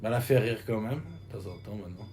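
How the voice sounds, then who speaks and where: A man's voice: on the low side, racing. A man with a Swiss French accent from Vaud, bantering casually and laughing often, repeating his jokes. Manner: laughs often, lively joking, repeats phrases.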